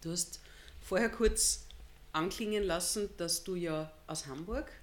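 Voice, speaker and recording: A woman's voice: on the low side, unhurried. A woman, asking questions as the interviewer in a conversational style.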